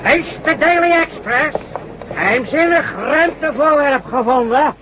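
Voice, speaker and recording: An actress playing a street newspaper seller crying out sensational headlines in a radio play. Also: female voice, high-pitched, a little slow. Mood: sensational, shouting. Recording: dull radio recording, clear background noise.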